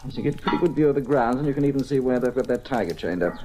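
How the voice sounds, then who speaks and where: Radio-drama villain: very low female voice, swooping intonation, suave and menacing, commanding schemer, noisy old recording. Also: expressive, racing. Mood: smug, briskly instructing. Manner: suave, articulate, condescending, menacing. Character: cool, scheming, commanding criminal ringleader plotting a theft.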